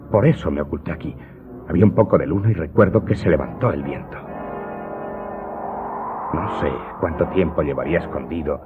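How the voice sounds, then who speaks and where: A deep-voiced, vengeful Corsican manservant confesses to his master in a radio drama. He recounts his tale slowly and gravely, with emphatic flares of passion. The sound is muffled and lo-fi, with prominent music beneath his words. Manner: deliberate, dramatic storytelling with emphatic outbursts. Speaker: voice actor in an audio drama. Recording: dull, muffled audio with loud music underneath.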